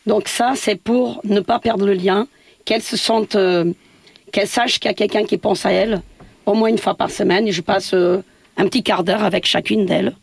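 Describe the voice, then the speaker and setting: A woman's voice: medium pitch, steady tone, normal speed, gravelly, slightly rough, no echo. A woman from Portugal, a building caretaker speaking as a radio interview guest, hesitant with many fillers and restarts.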